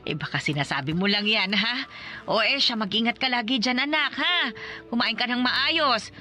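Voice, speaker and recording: A woman's voice: on the high side, animated, moderate pace, sharp tone, soft background music. A woman playing an older, loving rural Filipino mother in a radio drama, fussing and chatty in a Filipino accent. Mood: chatty, reassuring.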